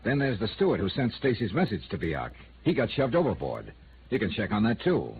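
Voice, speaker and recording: Wry, lively hard-boiled detective. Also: male voice, lowish voice, brisk, noisy background. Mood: sly needling. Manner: wisecracking, clipped, tough. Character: wry, hard-boiled male private investigator. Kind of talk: old-time radio noir acting and first-person narration. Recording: narrow-band vintage radio recording with music.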